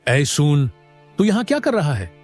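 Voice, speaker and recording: A man's voice: on the high side, big pitch swings, unhurried, a little mellow, soft background music. A man voicing a curt, domineering bully in a cartoon story, ordering someone around with threats. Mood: bossy, dismissive. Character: bossy, threatening man bullying a market pot seller. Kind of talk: Hindi cartoon story dialogue.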